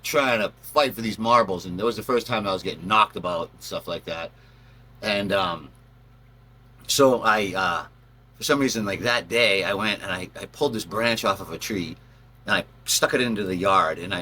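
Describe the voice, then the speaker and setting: A man's voice: sits low, bright, forceful tone, slight background noise. A man telling personal stories in a casual, rambling way, acting out bits as he goes. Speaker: storyteller, channel host.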